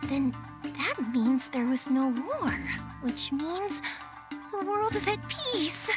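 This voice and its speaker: A woman's voice: sits high, very animated, slow pace, over music, full character voice. A woman voicing a cheerful, naive, curious young woman in a video game, eager and chatty. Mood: puzzled, musing. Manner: eager, wondering, rambling. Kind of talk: animated video game voice acting.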